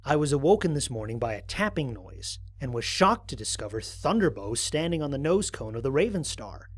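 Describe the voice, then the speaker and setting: A man playing a curious, bemused space explorer, narrating mission logs in an expressive, musing way for an audio drama. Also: medium pitch, a little fast, husky. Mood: wry, curious. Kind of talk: dramatic first-person mission log narration.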